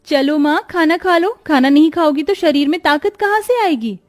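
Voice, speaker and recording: A woman's voice: sits high, expressive, normal speed. A woman voicing a caring, dutiful young village daughter in a cartoon story, with an earnest, solicitous manner.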